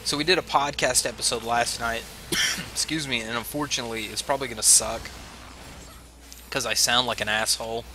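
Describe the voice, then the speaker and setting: A man's voice: mid-range voice, fairly even intonation, a little fast, sharp tone, a little hiss or hum. A man with a head cold and chesty cough, chatting to viewers in a rambling, self-mocking way while he plays a game. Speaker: streamer. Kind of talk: casual gameplay livestream chat. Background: game music.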